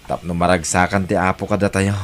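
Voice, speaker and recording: An actor plays a humble, God-fearing father in an audio drama, with an Ilocano accent. His voice sits very low, and he speaks gently and earnestly, taking his time over each thought. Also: flat delivery, fairly quick, a little hiss or hum, close to an everyday voice. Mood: humble, forgiving. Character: warm, devout, humble elderly Filipino father.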